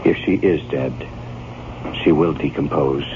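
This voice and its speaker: An anxious, very deep-voiced gentleman. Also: measured pace. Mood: urgent. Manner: earnest, insistent, formal speech. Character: anxious, resolute gentleman narrator, haunted by a promise. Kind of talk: dramatic radio horror acting and first-person narration. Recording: noisy, muffled old radio broadcast recording.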